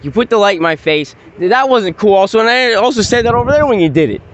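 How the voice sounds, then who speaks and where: A man's voice: high voice, animated, fast, dull audio. A man in his forties filming police on a street at night, arguing in an insistent, repetitive, rambling way. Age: 40s. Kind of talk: heated street argument.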